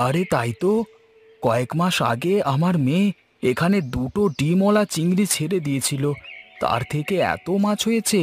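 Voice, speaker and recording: A man's voice: on the high side, expressive, normal speed, husky, soft background music. A man voicing a good-natured village farmer and doting father in a cartoon, folksy and full of exclamations. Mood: surprised. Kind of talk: cartoon dialogue.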